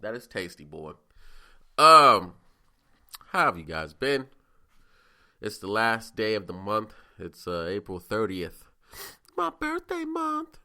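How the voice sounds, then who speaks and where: A man's voice: lowish voice, huge pitch swings, slow, pauses often, pushed and bright. A man in his twenties hosting a casual solo podcast, congested and sniffling, chatting loosely with rambling asides. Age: 20s. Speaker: podcast host.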